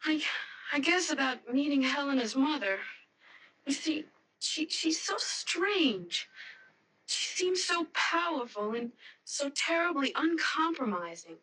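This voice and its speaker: An actress playing an anxious young fiancée in a radio mystery, hesitant, earnest and pleading. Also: female voice, sits high, animated, takes it slowly, sharp tone, heavily acted, low-quality recording. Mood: uneasy, hesitant. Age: young adult. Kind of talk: radio mystery drama acting.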